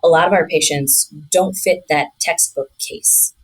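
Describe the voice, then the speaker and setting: Woman, very low pitch, physician guest, explanatory answers. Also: unhurried, poor audio.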